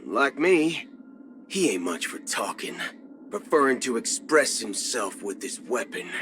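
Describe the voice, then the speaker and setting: An actor playing a cocky, folksy cyborg cowboy outlaw in a video game, with a Southern American drawl. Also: male voice, mid-range voice, sing-song, measured pace, noisy background. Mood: easygoing. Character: swaggering cyborg cowboy gunslinger outlaw, adult male. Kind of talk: video game character voice lines. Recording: music under the voice. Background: background music.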